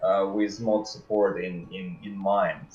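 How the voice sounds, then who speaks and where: A man speaking as a guest game developer, explaining things and often halting to search for words. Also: sits low, flat delivery, a little mellow. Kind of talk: explanatory livestream discussion. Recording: dull, roomy sound with faint music.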